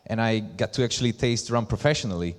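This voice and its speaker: A man from Slovakia with a Slovak accent, giving a conference presentation as a brand ambassador in deliberate, emphatic short phrases. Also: lowish voice. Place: large hall at a live event. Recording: echoey hall with long reverb.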